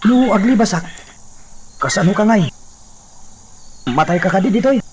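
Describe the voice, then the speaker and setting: A man's voice: sits high, lively intonation, moderate pace. A man playing a worried friend in an Ilocano radio drama, anxious and reproachful, with an Ilocano accent. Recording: distant, noisy, outdoor-like ambience.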